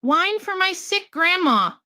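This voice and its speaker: A woman's voice: very high voice, sing-song, takes it slowly, sharp tone, voice pushed up, exaggerated voice. A woman voicing a bratty, swearing little girl in a mocking fairy tale reading. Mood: snippy. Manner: snippy, swearing. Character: rude bratty little girl in red cap.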